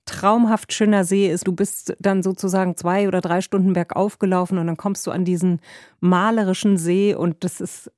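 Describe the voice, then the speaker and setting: A woman's voice: lowish voice, normal speed, no echo, studio quality. Chatty woman telling travel stories. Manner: lively, chatty, rambling with fillers and laughs. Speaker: travel reporter. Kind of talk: casual storytelling conversation.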